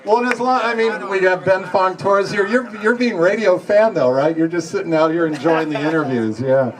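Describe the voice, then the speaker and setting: A man's voice: sits high, fairly quick, far from the mic. A man informally hosting a live panel at a reunion event in a chatty manner. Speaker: event host. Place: public event with audience. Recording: live event with loud crowd.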